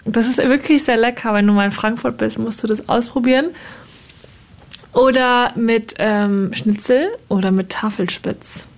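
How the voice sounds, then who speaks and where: A woman's voice: on the high side, steady tone, unhurried. A woman from Hesse co-hosting a podcast, teasing playfully with giggles in casual chat. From Hesse, Germany.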